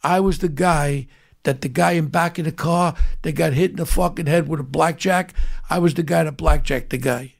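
A man's voice: on the high side, normal speed, gravelly. A man, hosting a casual interview in a blunt, profane, streetwise way. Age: 60s. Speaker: podcast host, former police detective.

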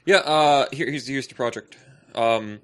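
A young adult man co-hosting a podcast, bantering casually in an animated, teasing way. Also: medium pitch, measured pace, smooth. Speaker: podcast co-host.

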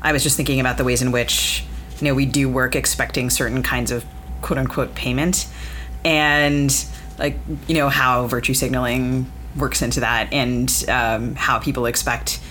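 A woman hosts a conversational interview. She phrases things thoughtfully and haltingly, working ideas out as she goes. Faint ambient noise sits behind her. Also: very deep voice, steady tone, fairly bright tone. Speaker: podcast host.

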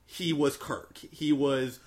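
Man, podcast host, casual chat, rambling, enthusiastic, mid pitch. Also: fairly even intonation, slow pace, darkish tone. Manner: rambling, enthusiastic, many fillers and restarts. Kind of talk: casual movie review chat.

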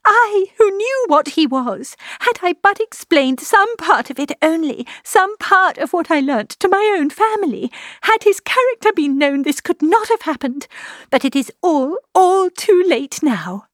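A woman voicing an agitated, breathless young gentlewoman in anguish, in a storybook reading. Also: fairly high pitch, moderate pace, no echo, clean studio sound, voice pushed up, lightly acted. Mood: agitated, despairing. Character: distraught young gentlewoman, anguished and self-reproaching.

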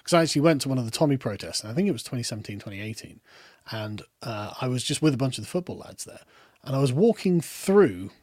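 British guest, rambling talker, middling pitch, dead dry room. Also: male voice, expressive, fast, no echo. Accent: British, lower middle class. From Britain. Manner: rambling, with many restarts and trailing off quietly. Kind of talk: casual political discussion.

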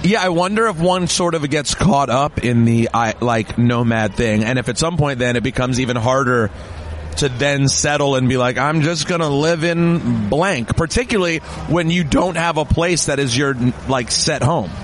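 A man's voice: mid-range voice, moderate pace, slight background noise. A man hosting a casual interview, rambling and restarting his questions. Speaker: podcast host. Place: busy fan event.

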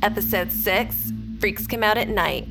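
A woman reads scripted opening credits as a show announcer. Her pitch is average, and music plays clearly behind the voice. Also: measured pace, poor audio.

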